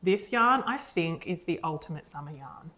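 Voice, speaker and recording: A woman's voice: fairly low pitch, expressive, moderate pace, sharp tone. A young woman co-hosting a knitting podcast, talking enthusiastically and rambling with lots of restarts. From Australia. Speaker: podcast co-host. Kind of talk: casual chat.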